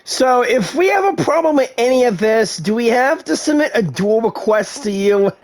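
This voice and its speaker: A woman's voice: a little high, lively intonation, normal speed, a little mellow. A woman giving casual commentary while playing a video game. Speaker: game streamer. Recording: faint game music behind.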